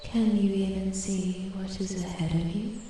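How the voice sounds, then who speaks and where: A woman as a slow, hushed ancient forest spirit. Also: medium pitch, natural voice. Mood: intrigued, hushed. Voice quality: soft, breathy, often dropping to whisper. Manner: slow, deliberate, archly formal. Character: ancient female forest spirit, intrigued, imperious, quietly menacing. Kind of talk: soft-spoken ASMR roleplay. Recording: echoey, with steady ambient forest noise. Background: forest ambience, birds and animal sounds.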